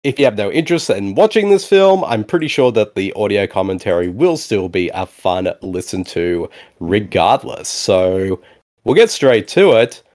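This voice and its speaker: Man, podcast host, casual movie commentary, lively swooping pitch, animated, quick to agree. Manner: animated, laughs often, repeats "exactly" and "indeed".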